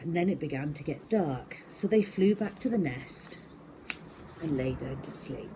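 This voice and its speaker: A woman reads to young children as a storyteller, with a slightly low voice and a slow, deliberate pace. She is expressive and emphatic, speaking straight to her listeners. There is faint noise behind her.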